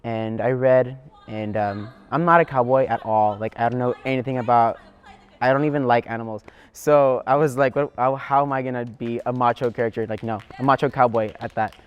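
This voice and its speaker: A man's voice: medium pitch, steady tone, fairly quick, smooth, muffled recording. A teenage male student actor talking casually in an interview. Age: teens, high school senior.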